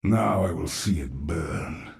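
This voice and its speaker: An actor playing a grim, vengeful infested man who speaks in a measured, grave way. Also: very deep voice, expressive, gravelly, exaggerated voice. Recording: echoey, over loud music.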